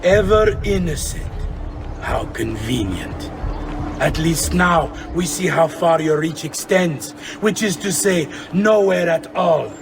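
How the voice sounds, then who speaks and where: An actor playing a scornful, threatening corrupt magistrate, sneering and deliberate, as a game villain. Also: male voice, on the high side, expressive, creaky, heavily acted. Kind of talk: video game villain acting. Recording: far from mic, music over it.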